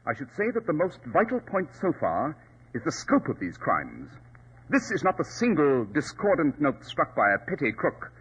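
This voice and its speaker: An actor in a 1940s radio drama playing a coolly superior, sardonic detective with a clipped, precise, imperious delivery. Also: male voice, fairly high pitch, wildly swooping pitch, normal speed, fairly bright tone, slightly rough, full character voice. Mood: cool, analytical. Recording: dull, lo-fi old radio recording.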